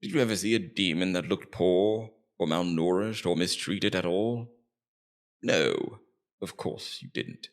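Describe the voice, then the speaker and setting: A man playing a scheming, immortal Demon King in an ASMR roleplay, taunting and persuasive, with theatrical, deliberate emphasis. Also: sits low, animated. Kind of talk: ASMR roleplay, scripted villain monologue.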